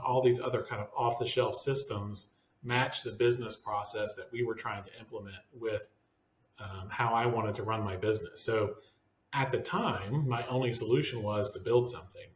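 A man's voice: medium pitch, reverberant, a little hiss or hum. A man hosting a business podcast roundtable, talking casually and rambling with fillers and restarts. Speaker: podcast host.

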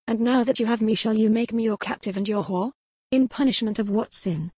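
A woman's voice: on the high side, fairly quick, a little mellow, husky, no room sound, clean studio sound, pitched above the performer's own voice. A woman voicing a defiant young noble lady. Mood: impassioned. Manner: earnest, impassioned, formal period speech.

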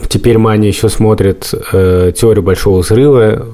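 Low, mellow-voiced middle-aged man chatting. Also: steady tone, normal speed. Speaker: podcast host. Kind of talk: casual chat.

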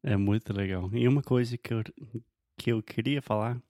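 A man's voice: mid-range voice, moderate pace, mellow, dry recording, studio quality. An American man whose first language is English, hosting a podcast and speaking Portuguese as a learner, with restarts and questions about words. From United States. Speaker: podcast host. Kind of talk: casual conversation.

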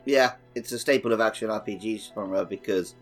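A man's voice: lowish voice, moderate pace. A man co-hosting a podcast, chatting casually about a video game. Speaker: podcast host. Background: background music.

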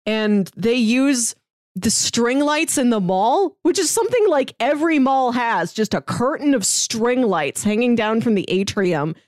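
A woman with a slightly high voice discusses movies as a podcast host. Her pitch moves around in a lively way as she makes wry, playful points, and the sound is close and dry. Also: measured pace, no room sound.

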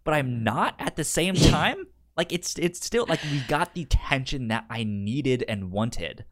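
A man's voice: mid-range voice, lively intonation, measured pace. A man in a casual film discussion as a podcast co-host, often stammering and repeating the first sounds of words.

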